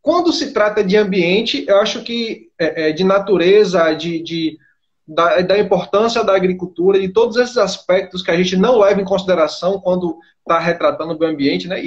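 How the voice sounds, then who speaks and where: A man's voice: high voice, lo-fi sound. A man hosting a live online interview, framing his questions hesitantly with many fillers and restarts. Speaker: host.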